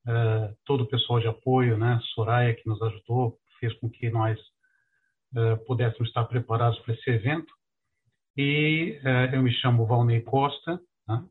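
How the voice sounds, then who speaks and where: An older Brazilian man from Rio de Janeiro with a husky voice, giving a courteous, conversational introduction as a guest presenter over a video call. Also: mid-range voice, fairly even intonation, normal speed. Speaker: guest presenter, international mobility professional. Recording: muffled, narrow-band video call audio.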